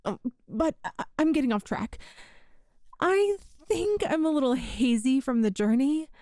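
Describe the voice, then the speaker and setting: A woman's voice: sits high, lively intonation, a little slow, a little mellow, dry recording, studio quality. A woman playing an awkward, chatty time traveler from the far future in an ASMR roleplay, rambling and hesitant. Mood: hopeful, awkward. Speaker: audio roleplay performer.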